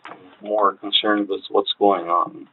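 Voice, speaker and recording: Deep-voiced halting man on tinny tape. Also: flat delivery, a little hiss or hum. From Hawaii. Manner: hesitant, halting, many fillers. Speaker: interrogation subject. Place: police interview room. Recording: tinny low-fidelity room recording.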